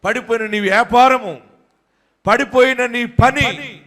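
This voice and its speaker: A man's voice: extremely high pitch, fairly even intonation, slow pace, a bit bright. A man preaching a fervent sermon as pastor, repeating and exhorting his congregation. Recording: long hall reverb.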